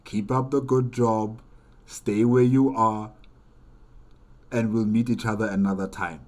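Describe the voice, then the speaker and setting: A man hosting a reaction video, commenting casually and haltingly, repeating phrases and asking rhetorical questions. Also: lowish voice, level tone, darkish tone.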